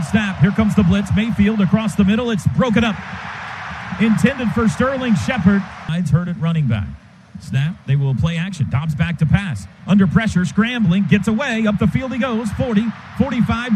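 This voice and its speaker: A man doing live play-by-play radio commentary of a football game from a stadium booth, in rapid clipped calls that surge on big plays. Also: high voice. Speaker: play-by-play announcer. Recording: noisy live stadium broadcast.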